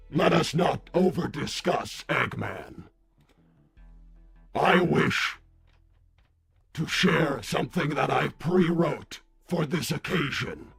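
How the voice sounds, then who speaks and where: Quiet, husky, halting robot. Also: male voice, medium pitch, full character voice. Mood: solemn, halting. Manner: quiet, hesitant, stuttering, formal. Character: soft-spoken, deliberate, halting robot hedgehog philosopher. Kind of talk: improvised character roleplay on a livestream.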